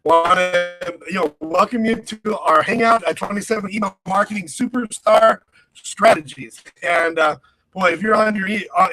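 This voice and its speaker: A middle-aged man hosting a live webinar, talking loosely with many fillers and restarts, over crackly internet audio. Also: sits high. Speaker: webinar host. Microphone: computer mic on a video call.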